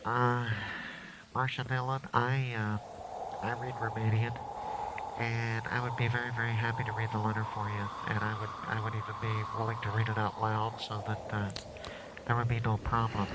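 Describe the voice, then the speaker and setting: A man's voice: on the low side, level tone, moderate pace, close to an everyday voice. A man playing a meek, apologetic, eager-to-please scholar in a Western radio drama, polite and nervously stammering. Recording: noisy, hissy 1980s amateur tape.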